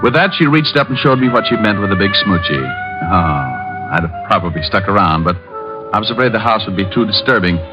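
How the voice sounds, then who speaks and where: Radio private eye male actor: low, swinging intonation, wry, easygoing flirt, muffled vintage recording. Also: lively intonation, brisk, close to an everyday voice. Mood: wry narration. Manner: wry, breezy, teasing. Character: Richard Diamond, wisecracking flirtatious private detective, cocky and easygoing. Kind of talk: 1940s radio acting. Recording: dull old radio recording with music.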